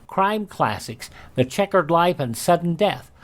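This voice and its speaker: A man hosting a radio program, talking casually and haltingly as he introduces old shows. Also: a little high, expressive. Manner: halting, unscripted, pausing. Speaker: radio host.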